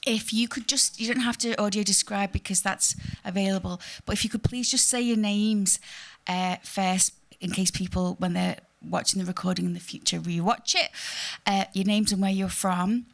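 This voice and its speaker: A fifty-year-old woman from Liverpool hosts a live panel in a chatty, self-mocking way, full of ums and uhs. Her voice has a gravelly, fry-edged texture at a middle pitch. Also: steady tone, normal speed, few pauses, a bit bright. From Liverpool, England. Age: 50s. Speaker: panel host. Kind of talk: casual chatty hosting. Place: theatre symposium panel.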